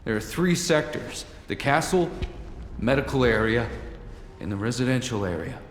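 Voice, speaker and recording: An actor playing a tough, wary, pragmatic armed operative in a video game, speaking in a measured, hard-edged and persuasive way. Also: male voice, medium pitch, lively intonation, a little hiss or hum, lightly acted. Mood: weary explaining. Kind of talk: video game cutscene acting. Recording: echoey hall with long reverb, music behind.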